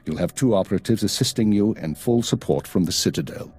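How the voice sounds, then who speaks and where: An actor playing a stern, weary intelligence chief in a video game, formal, clipped and measured. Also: male voice, fairly low pitch, animated, a little mellow, breathy edge. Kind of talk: video game cutscene acting.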